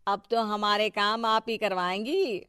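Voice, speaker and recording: A woman's voice: medium pitch, unhurried, clear, resonant tone, no echo, studio quality. A woman voicing a fawning, effusive local well-wisher in a Hindi cartoon moral story. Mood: gushing. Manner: flattering, effusive.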